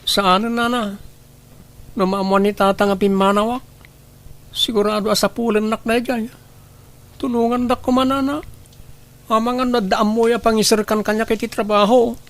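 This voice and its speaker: A woman's voice: on the high side, fairly even intonation, moderate pace, long pauses, lightly acted. A woman playing a timid, hard-working youngster in a radio drama, speaking in a deferential, pleading manner. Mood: distressed, pleading. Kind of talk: Ilocano radio drama acting.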